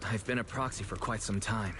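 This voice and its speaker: A man's voice: fairly low pitch, fairly even intonation, brisk, very noisy, lightly acted. An actor playing an earnest, level-headed young man leading a group in an anime game, with a considerate manner. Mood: steady, reassuring.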